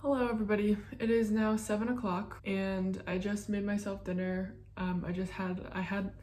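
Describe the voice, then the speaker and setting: A woman's voice: mid-range voice, little pitch movement, normal speed, dark tone, roomy sound, a little hiss or hum. A young American woman from upstate New York, chatting casually to her viewers with lots of fillers and self-teasing. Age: young adult. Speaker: vlogger. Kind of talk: casual vlog chat.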